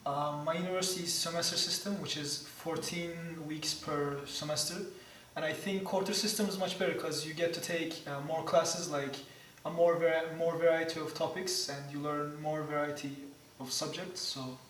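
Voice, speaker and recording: A man's voice: a little high, steady tone, normal speed, fairly bright tone, a little hiss or hum. A young man with a Turkish accent, an exchange student answering interview questions hesitantly, with many fillers. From Turkey. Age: young adult. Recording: echoey room, mic at a distance.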